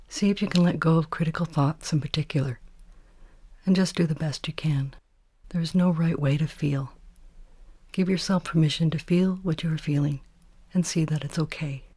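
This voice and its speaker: Female meditation guide, mellow and breathy, hushed and soothing, measured delivery for a body scan. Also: sits low, dry recording. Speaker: meditation teacher.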